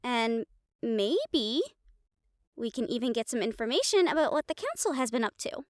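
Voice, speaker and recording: A woman's voice: on the high side, big pitch swings, moderate pace, no room sound, clean studio sound. A woman playing a perky, girlish, well-meaning but scatterbrained young royal in a fantasy audio roleplay. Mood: upbeat, assured. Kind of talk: dramatic audio roleplay acting.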